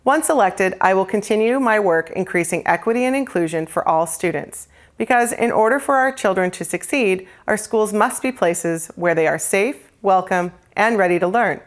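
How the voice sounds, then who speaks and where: A woman's voice: medium pitch, animated, moderate pace. A woman delivering a prepared campaign statement as a school board candidate.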